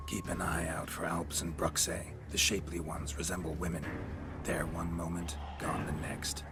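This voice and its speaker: An actor playing a gruff veteran monster hunter who talks in terse, clipped, deadpan lines in a game cutscene. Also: male voice, low-pitched. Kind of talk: video game cutscene dialogue. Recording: game audio with loud music.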